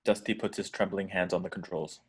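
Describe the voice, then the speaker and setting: Low-voiced man narrating a script. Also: steady tone, brisk, breathy edge. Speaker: reader of action descriptions. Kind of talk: scripted narration at table read.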